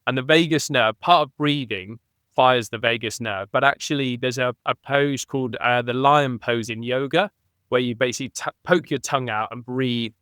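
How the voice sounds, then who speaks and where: A man in a relaxed podcast interview, the ex-rugby player guest thinking aloud and circling back on his points. His voice is smooth and clear, recorded close on a dead, dry mic. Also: mid-range voice, moderate pace, no room sound. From England. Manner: thinks aloud with restarts, animated on key points. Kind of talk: reflective conversational interview.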